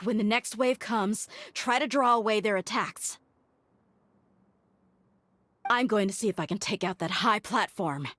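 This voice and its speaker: A woman's voice: mid-range voice, normal speed, fairly bright tone, lightly acted. A woman voice acting a brash, cocky mercenary fighter for a video game, taunting and combative, with music over her voice. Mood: urgent, focused.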